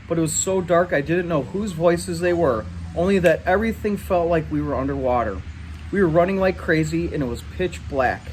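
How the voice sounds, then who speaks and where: A man reading a story aloud in an animated way. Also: on the high side, slight background noise. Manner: animated, acts out dialogue in shouts. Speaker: reader. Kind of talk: read-aloud story narration.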